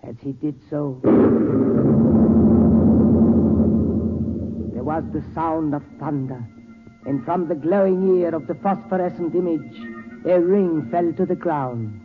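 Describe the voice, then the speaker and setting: Tinny old radio drama, actor doing polite tibetan merchant guy, highish voice, formal careful storyteller. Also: music in the background, lightly acted. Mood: hushed storytelling. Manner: formal, measured, precise storytelling. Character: courteous, formal Tibetan gem importer and storyteller. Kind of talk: 1940s radio acting. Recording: tinny 1940s radio recording.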